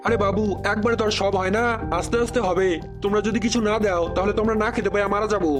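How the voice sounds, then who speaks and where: A high-pitched, quick-talking cartoon minister acted by a man, lazy and corrupt. He makes brazen excuses in colloquial eastern Bengali dialect, over loud music. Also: steady tone, natural voice. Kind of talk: comedy cartoon dubbing.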